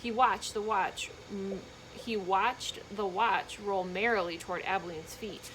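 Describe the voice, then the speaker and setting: A woman reading a children's book aloud in an expressive, emphatic storytelling manner, with short explanatory asides for young listeners. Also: mid-range voice, measured pace, slight background noise, poor audio.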